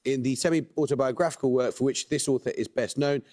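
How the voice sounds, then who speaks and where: A man hosting a quiz show, reading questions and introductions with a measured, precise delivery. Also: medium pitch, a little mellow.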